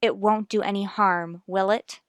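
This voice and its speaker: A woman's voice: medium pitch, steady tone, unhurried, clear, resonant tone, no room sound, clean studio sound, natural voice. An actress playing an earnest, determined wife and worried mother in a radio soap, speaking in a firm, formal, reasoning way. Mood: earnest, pleading. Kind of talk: radio soap opera acting.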